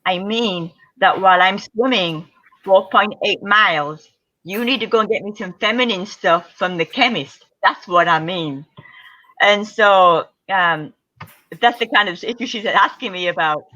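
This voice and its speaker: A middle-aged woman tells stories as an interview guest, lively and acting out the people she quotes. Her voice is bright and forward. The audio is dull and lo-fi, like a remote call. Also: mid-range voice, unhurried. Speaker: guest ultra-distance triathlete. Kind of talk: casual interview storytelling.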